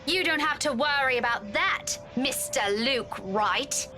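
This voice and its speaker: A woman's voice: high voice, animated, measured pace. An actress playing a sassy, outspoken young woman in a video game, blunt and sarcastic. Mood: defiant sarcasm. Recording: background music.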